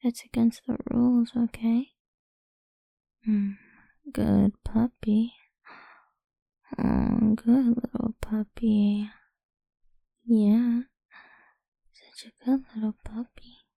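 Slow, breathy whispering woman. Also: on the high side, level tone, dry recording. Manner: soft, slow, coaxing whisper. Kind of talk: whispered ASMR roleplay.

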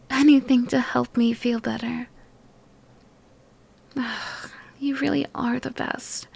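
A young woman in a whispered ASMR girlfriend roleplay, talking softly and affectionately while sick and worn out. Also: fairly high pitch, flat delivery, takes it slowly, vocal fry, long pauses, husky.

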